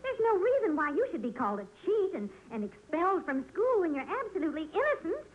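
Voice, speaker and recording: Fretful, high-voiced radio housewife. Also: female voice, very animated, fast. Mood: firm. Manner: earnest, pleading, scolding. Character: fretful, principled housewife and mother, middle-aged. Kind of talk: old-time radio sitcom acting. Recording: muffled, noisy vintage radio recording.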